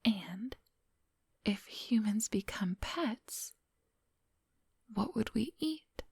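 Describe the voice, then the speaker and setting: Casting: woman to play a controlling vampire seductress for ASMR audio drama, unhurried, heavily whispered, coaxing yet commanding. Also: mid-range voice, lively intonation, a little mellow, no room sound, clean studio sound, heavily acted. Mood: scornful, probing. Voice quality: breathy whisper. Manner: slow, condescending, coaxing, commanding. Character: menacing female vampire, coolly hypnotic and controlling. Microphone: close, dry microphone.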